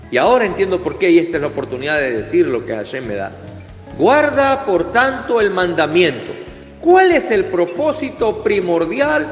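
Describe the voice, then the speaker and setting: Man, high voice, fervent preacher, emphatic delivery, long hall reverb, faint music behind. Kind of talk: sermon.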